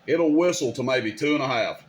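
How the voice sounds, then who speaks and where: A man's voice: mid-range voice, expressive, brisk. A man hosting a casual, hands-on technical demonstration, speaking informally and directly to viewers in a folksy way. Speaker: video host demonstrating CB radio gear.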